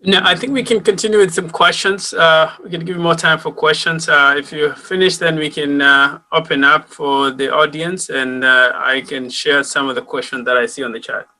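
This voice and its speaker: Bright-voiced male moderator. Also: on the high side, fairly even intonation, normal speed, hardly any pauses. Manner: hesitant, many fillers. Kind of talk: reading audience questions.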